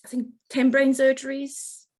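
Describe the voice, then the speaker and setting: A woman's voice: high-pitched, monotone, moderate pace. A woman hosting a podcast, introducing her guest in an enthusiastic way with many ums. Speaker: podcast host. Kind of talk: casual interview chat.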